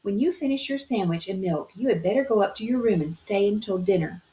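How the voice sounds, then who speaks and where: A woman's voice: medium pitch, animated, fairly quick, warm tone, close to an everyday voice. A woman voicing a prim, worried mother, read aloud from a storybook. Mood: fretful. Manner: fretful, prim. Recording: roomy echo.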